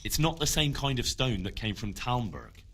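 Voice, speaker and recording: An earnest young investigator from a medieval video game. His mid-pitched voice moves around a lot and he presses his point stubbornly. There is faint noise behind him. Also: big pitch swings, moderate pace, a bit bright. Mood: probing, earnest. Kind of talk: video game drama acting.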